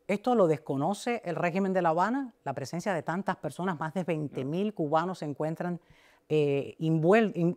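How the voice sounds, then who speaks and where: A woman speaking as the host of a broadcast interview. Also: fairly low pitch.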